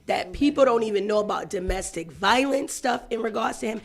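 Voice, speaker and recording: A woman's voice: mid-range voice, animated, brisk. A woman from Boston with a Boston accent, co-hosting morning radio, blunt, passionate and colloquial. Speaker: radio co-host. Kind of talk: morning radio talk show chat.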